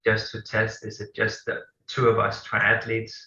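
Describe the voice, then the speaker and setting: A man's voice: lowish voice, completely flat delivery, a little slow, creaky, bright, forceful tone. A man from Denmark with a Danish accent, answering interview questions in his thirties, hesitant and full of fillers. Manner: hesitant, many fillers and restarts. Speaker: guest, company co-founder. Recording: echoey room, dull lo-fi sound.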